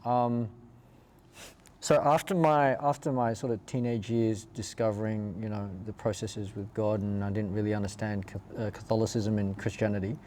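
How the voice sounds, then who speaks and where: A man with an Australian accent and a fairly low voice tells their story casually, pausing and rewording as they go. The tone is soft-edged and mellow. There is noticeable ambient noise behind them. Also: little pitch movement. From Perth, Australia. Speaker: interview guest telling their life story. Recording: clear background ambient noise.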